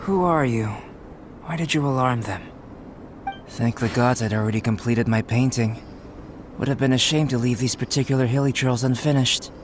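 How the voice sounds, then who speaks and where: Scholarly young anime game genius male actor, mid-pitched, measured lecturer's delivery, background ambience noise. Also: close to an everyday voice. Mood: mildly reproachful. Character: calm, scholarly young male researcher and painter. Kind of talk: anime-style video game voice acting.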